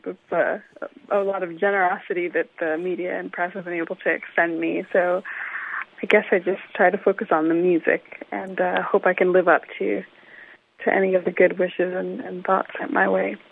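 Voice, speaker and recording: A woman's voice: fairly low pitch, flat delivery, moderate pace, creaky, a little hiss or hum. Young woman on a phone line. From Illinois, United States. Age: young adult. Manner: thoughtful, hesitant with many ums. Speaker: musician guest. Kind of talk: phone interview. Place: New York City.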